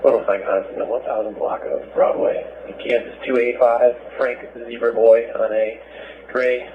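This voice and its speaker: A man's voice: mid-range voice, steady tone, normal speed. A male patrol officer calling in locations and vehicle details over a two-way police radio. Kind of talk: radio check-in traffic. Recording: noisy, narrowband police scanner radio.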